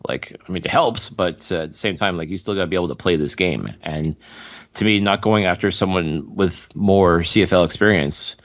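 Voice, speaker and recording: Male sports podcaster, low register, casual and opinionated commentary. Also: a little fast.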